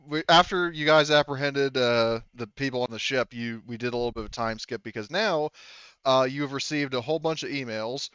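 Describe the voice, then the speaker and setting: Smooth-voiced man, dry close sound. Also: mid-range voice, a little fast, fairly bright tone, no room sound, lo-fi sound. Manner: informal, profane. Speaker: game master. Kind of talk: casual chat running a tabletop roleplaying game.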